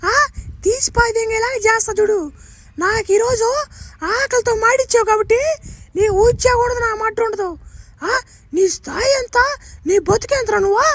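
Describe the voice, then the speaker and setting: A woman's voice: very high-pitched, steady tone, moderate pace, dry recording. A woman voicing an angry, contemptuous woman customer in a comic cartoon, scolding and whining. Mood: scornful, whining. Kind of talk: comic cartoon dubbing in Telugu.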